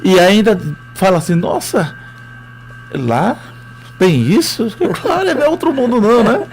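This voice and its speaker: A man's voice: high-pitched, expressive, a little slow, darkish tone, soft background music. A man with a Northeastern Brazilian accent, a history teacher telling his story as a radio interview guest, hesitant with many fillers.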